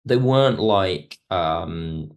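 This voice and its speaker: A man speaking as an expert guest in an interview, explaining things in a measured, digressive way. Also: low-pitched, expressive. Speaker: podcast guest, television history expert. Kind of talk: conversational interview commentary.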